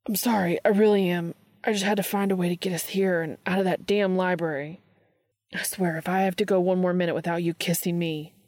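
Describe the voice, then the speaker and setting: A woman voicing an eager, desperate adult man in a romantic audio story, speaking quickly and pleadingly. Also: fairly low pitch, fairly even intonation, a little mellow, no room sound, clean studio sound, natural voice.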